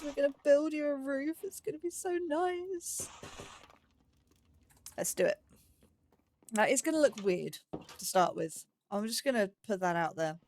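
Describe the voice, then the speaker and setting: A woman's voice: high voice, slight background noise. A woman giving casual let's play commentary, chatting and musing aloud in a self-deprecating way. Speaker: gaming YouTuber, host of a let's play series.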